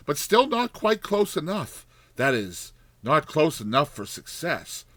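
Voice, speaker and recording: A man's voice: medium pitch, fairly bright tone, dry recording. A man narrating a classic short story as a dramatized audiobook, with theatrical, emphatic phrasing.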